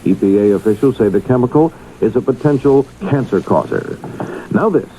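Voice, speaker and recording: A man's voice: medium pitch, normal speed, warm tone, slight background noise, rough recording. A man reading scripted news as a radio anchor on a 1970s broadcast.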